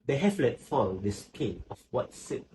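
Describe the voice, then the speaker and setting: A man's voice: medium pitch, very animated, measured pace, darkish tone, rough recording. Man acting as a sombre, watchful witness. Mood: quiet, watchful. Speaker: student actor. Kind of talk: literary stage play acting. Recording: faint background noise.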